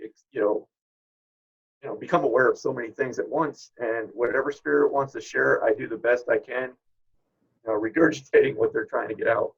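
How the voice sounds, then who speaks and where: Interview guest, male, middle-aged. Husky and creaky, reflective, conversational delivery. Slightly muffled, low-fidelity recording. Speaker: guest, spirit medium. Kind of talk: informal interview talk.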